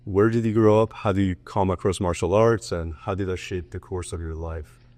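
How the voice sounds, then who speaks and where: Low-voiced male host asking questions. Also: steady tone, normal speed, a little mellow, dry recording. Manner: curious, asks long open questions. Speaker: podcast host. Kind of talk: interview questions.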